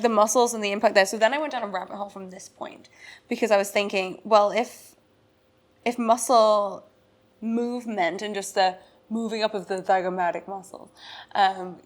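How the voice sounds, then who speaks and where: A woman co-hosting a podcast in a casual chat, laughing often as she explains the research she has read. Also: mid-range voice. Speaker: podcast co-host.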